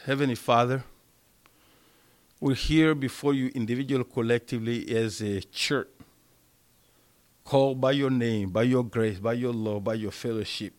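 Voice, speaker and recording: A man preaching a sermon and leading prayer in a small church, speaking emphatically with a strong voice that rises loud on key words. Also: mid-range voice, moderate pace, pauses often. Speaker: pastor.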